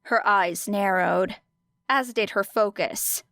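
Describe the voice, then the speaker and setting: Female audiobook narrator: dramatic, expressive, measured pace, medium pitch, dry close sound. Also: no room sound. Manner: deliberate, expressive phrasing with weighty pauses. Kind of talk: dramatic scripted fiction narration.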